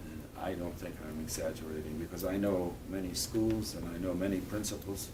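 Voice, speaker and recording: This is an older man with a deep, low voice. He talks to schoolchildren haltingly and earnestly, often breaking off and starting a phrase again. He sits well back from the mic in a school room, with steady background noise. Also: far from the mic. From Poland. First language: Polish. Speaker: guest speaker. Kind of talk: talk and Q&A with schoolchildren.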